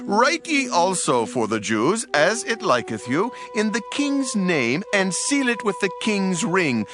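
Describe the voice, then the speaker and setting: Actor as a stately ancient king, commanding, high and lively voice over soft music. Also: unhurried, exaggerated voice. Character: ancient Persian king giving a decree. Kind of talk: dramatized scripture reading.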